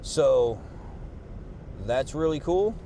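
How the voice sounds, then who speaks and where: A man's voice: fairly high pitch, lively intonation, extremely slow. A worn-out man talking casually after a workout, rambling and swearing with lots of fillers, on a clip-on mic under his jacket. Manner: rambling, profane, with many fillers and restarts. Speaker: fitness vlogger. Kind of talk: casual post-workout vlog talk. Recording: noisy outdoor street recording. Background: traffic and street noise.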